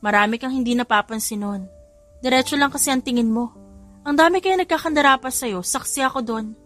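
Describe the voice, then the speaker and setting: A woman's voice: sits high, fairly quick, fairly bright tone. Woman acting a cheeky, flirtatious Filipina. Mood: bantering. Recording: music behind the voice.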